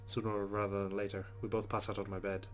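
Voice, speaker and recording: A man's voice: fairly low pitch, completely flat delivery, fast, close to an everyday voice. A man reading the first-person thoughts of a rueful young Irish woman in a visual novel, with a put-on Irish accent.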